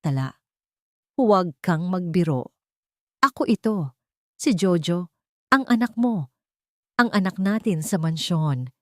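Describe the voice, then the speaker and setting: A woman's voice: lowish voice, animated, slow, long pauses, no room sound, studio quality. A woman voicing an anguished, tender father who pleads emotionally in an audio drama. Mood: urgent pleading.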